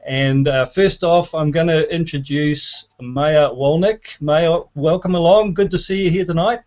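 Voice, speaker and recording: A man's voice: on the high side, unhurried, darkish tone, lo-fi sound. A man hosting an online networking event, enthusiastic and full of "uh" fillers. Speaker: host.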